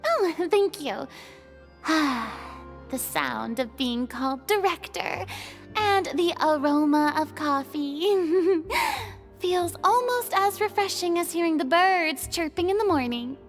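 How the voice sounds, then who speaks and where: A young film director in a video game. Her voice is very high and theatrical, full of self-important grandeur, ringing in a hall over faint music. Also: animated, slow, full character voice. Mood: contented. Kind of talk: video game character acting.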